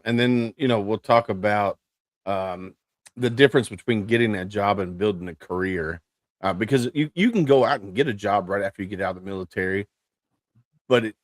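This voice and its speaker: A man's voice: fairly low pitch, no room sound. Dry-sounding upbeat male podcast host. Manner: upbeat, filler-heavy, previews topics. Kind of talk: casual hosting chat.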